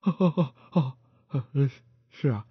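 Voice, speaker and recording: A man's voice: mid-range voice, animated, husky, dry recording, highs cut off, pitched below the performer's own voice. A man putting on a stammering timid constable. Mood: nervous. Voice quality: heavy stutter, halting repeats. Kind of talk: traditional storytelling, acted dialogue.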